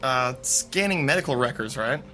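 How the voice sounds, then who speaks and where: An actor playing a helpful, affable college theater technician in naturalistic radio drama dialogue, easygoing and keen to explain. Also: male voice, medium pitch, expressive, moderate pace, pushed and bright, faint music behind.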